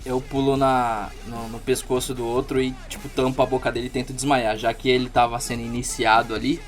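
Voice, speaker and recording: A man's voice: medium pitch, flat delivery, fairly quick, soft background music. A man playing a tabletop roleplaying game, casually describing his character's actions in the first person.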